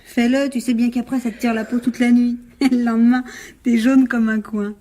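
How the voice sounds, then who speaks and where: A young woman in a radio play, played by an actress, with a fairly high voice. She talks in brisk slang, mocking and impatient, as if brushing off every worry. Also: level tone, warm tone, natural voice. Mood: teasing, dismissive. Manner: slangy, teasing, exasperated. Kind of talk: radio drama acting.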